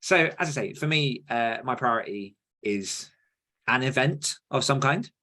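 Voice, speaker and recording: A man's voice: mid-range voice, unhurried, pushed and bright. A man co-hosting a podcast in a casual, informal chat style, thinking through plans as they talk. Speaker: podcast co-host.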